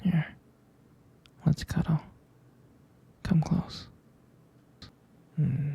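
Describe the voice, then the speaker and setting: A dark, very gravelly male voice murmurs close to a whisper as a man acts out a boyfriend for ASMR. He is a tender but intense, possessive lover who talks slowly, with hesitant pauses and a heavy husky breathiness. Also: fairly high pitch, steady tone, lo-fi sound, heavily acted. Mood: possessive, affectionate, then tender, nostalgic. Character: devoted, jealous, possessive young boyfriend soothing his sweetheart. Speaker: ASMR roleplay performer. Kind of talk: intimate boyfriend ASMR roleplay.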